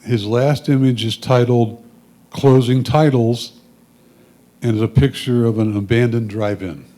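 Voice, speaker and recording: A man reads brief titles and captions from prepared notes. His voice is mellow and soft-edged, at an average pitch. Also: steady tone, unhurried. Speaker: reader of photographers' comments. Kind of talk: reading prepared notes aloud.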